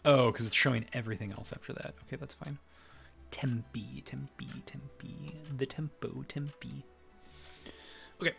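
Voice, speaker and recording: A man's voice: medium pitch, fairly even intonation, a little slow, gravelly, fairly bright tone, faint music behind. A man streaming a video game, casually thinking aloud and muttering to himself between bursts of exclamation. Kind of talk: casual live gameplay commentary, thinking aloud.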